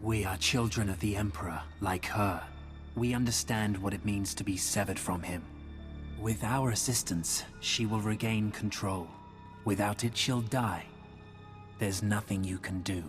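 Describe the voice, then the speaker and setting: A man acts out a stern male Sith zealot in a video game. His low voice is deliberate, formal and coldly menacing, with music underneath. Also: fairly even intonation, lightly acted.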